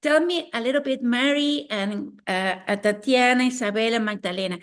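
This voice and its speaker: Female interview host, fairly high voice, warm-up questions with restarts. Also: normal speed. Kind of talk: bilingual interview hosting.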